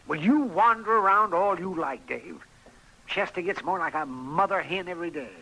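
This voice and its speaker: A man playing a fussy, indulgent American townsman with a raspy voice in a radio western. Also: sits high, moderate pace, bright, forceful tone, full character voice, poor audio. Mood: exasperated, indulgent. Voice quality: raspy, creaky. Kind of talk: old-time radio western acting.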